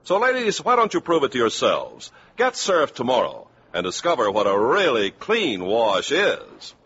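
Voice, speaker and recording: An American man reading scripted announcements in 1950s radio style, deliberate and emphatic. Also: mid-range voice, huge pitch swings, fairly bright tone, slight background noise. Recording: dull 1950s radio broadcast recording.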